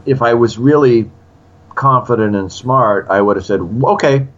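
A man's voice: mid-range voice, normal speed, muffled recording. A man in his sixties, a screenwriter in an interview, telling a story animatedly and acting out quotes. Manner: animated, acts out quotes in other voices. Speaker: screenwriter guest.

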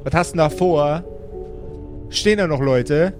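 A man acts out a young gentleman necromancer, lively and scolding, with music playing clearly behind him. He is impatient and colloquial, repeating himself brusquely like an annoyed boss in a tabletop fantasy game. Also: on the high side, unhurried, close to an everyday voice.

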